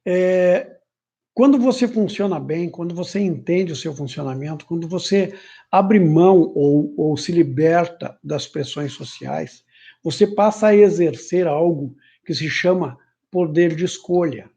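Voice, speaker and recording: Animated man, high and mellow. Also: unhurried, gravelly. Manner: animated, mimics whiny complaints in a higher voice. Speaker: personal development teacher. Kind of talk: informal lecture to camera. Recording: muffled lo-fi audio.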